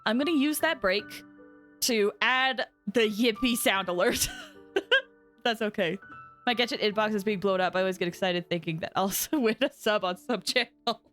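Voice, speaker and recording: A woman casually commentating on a live game stream, chatty and excitable, close on the microphone. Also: medium pitch, normal speed, soft background music. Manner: chatty, excitable, swoops into squeals.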